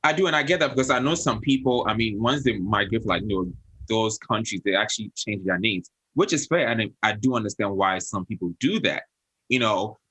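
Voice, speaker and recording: Young male host, bright, slightly husky tone, animated storyteller. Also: fairly low pitch, brisk, low-quality recording. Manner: animated storyteller, laughs often, quotes others in a raised voice. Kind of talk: casual panel chat.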